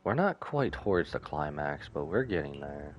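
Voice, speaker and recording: A man's voice: rumbling low, big pitch swings, normal speed, dull audio, slight background noise. A man giving casual gaming commentary, musing aloud and reading dialogue between his asides. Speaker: game streamer.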